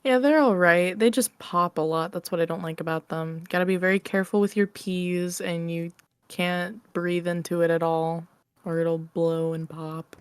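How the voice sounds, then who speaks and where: A woman with a slightly low voice talks as a guest in a casual interview. She is candid, informal and prone to giggling. Her sound is very dry, with hardly any room around it. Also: steady tone, moderate pace, smooth, dry recording. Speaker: interview guest, audio roleplay creator. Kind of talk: casual chat.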